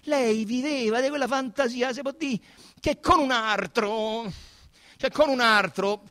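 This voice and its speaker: A man playing an agitated young male murder suspect on stage, pleading and confessing in halting, insistent, self-justifying bursts. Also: very high-pitched, sharp tone, pitched above the performer's own voice, heavily acted. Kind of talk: theatrical dramatic monologue. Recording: reverberant hall.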